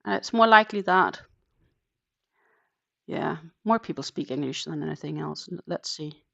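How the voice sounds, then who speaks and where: Danish woman, gravelly voice, lo-fi stream. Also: sits low, normal speed. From Denmark. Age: 30s. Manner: relaxed, counts stitches aloud, chatty with viewers. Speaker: crochet channel host. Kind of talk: casual live-stream chat while crocheting. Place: home in the Netherlands. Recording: dull lo-fi live-stream audio.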